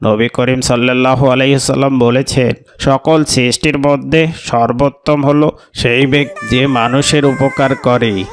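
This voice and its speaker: A man voicing a solemn community leader in a moral cartoon, speaking in a measured, formal way. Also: medium pitch, little pitch movement, natural voice. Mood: solemn, preaching. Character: solemn, grateful community leader addressing villagers. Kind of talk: Islamic moral cartoon voice acting.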